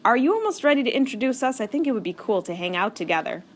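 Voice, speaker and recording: A showy young girl from a storybook who dreams of fame, played by a woman reader. She draws her words out dramatically. Her voice sits a little higher and louder than plain reading. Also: big pitch swings, a little hiss or hum. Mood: playful. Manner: theatrical, drawn-out.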